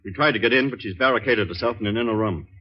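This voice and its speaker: Man playing quick, husky, grave rich uncle. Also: on the low side, natural voice. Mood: grave, urgent. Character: grave, remorseful wealthy uncle. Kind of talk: 1940s radio crime drama acting. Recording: dull lo-fi 1940s radio recording.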